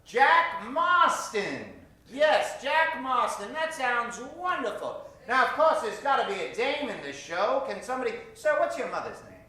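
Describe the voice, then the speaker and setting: Male improv stage host: bright, projecting showman with big pitch swings, working the audience. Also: sing-song, unhurried. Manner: theatrical showman, projecting to a crowd. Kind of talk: improv audience warm-up, gathering suggestions. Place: live theater stage. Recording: very echoey theater, distant mic.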